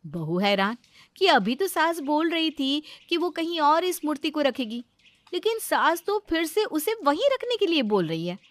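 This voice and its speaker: A woman's voice: high-pitched, sing-song, moderate pace, no echo. A woman narrating a scripted comic family story as the storyteller.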